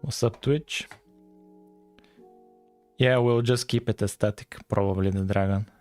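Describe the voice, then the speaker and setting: A man's voice: on the low side, fairly even intonation, unhurried, darkish tone, faint music behind. A man from Bulgaria with a Bulgarian accent, chatting casually and thinking aloud as he livestreams his coding. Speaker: live streamer, game developer.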